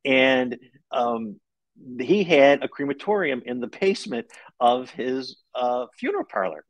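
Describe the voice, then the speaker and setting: A man's voice: mid-range voice, big pitch swings, a little slow, no echo, poor audio. Male, middle-aged, American. Guest on a talk show, conversational delivery, dry recording with little room sound. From Buffalo, New York, United States. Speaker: guest, novelist. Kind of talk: conversational interview answers. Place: room at home, video call.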